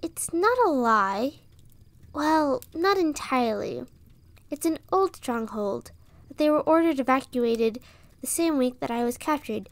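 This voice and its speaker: A woman's voice: high-pitched, lively intonation, measured pace, no echo. A woman playing an eager, plucky, clever young girl helper in a fantasy audio roleplay, earnest and youthful. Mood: eager scheming.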